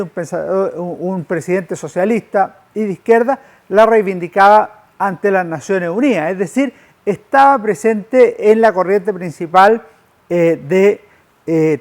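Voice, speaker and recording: A man delivers a public lecture. His manner is didactic and measured. He uses formal phrasing as he explains things to his listeners. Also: high-pitched, a little mellow. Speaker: lecturer, historian. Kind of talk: public lecture on history, with slides.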